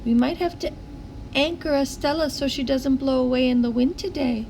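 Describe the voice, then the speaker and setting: A woman's voice: high-pitched, moderate pace, vocal fry, warm tone, slight background noise, pitched above the performer's own voice. A woman voicing a teasing, bossy brother in a children's storybook.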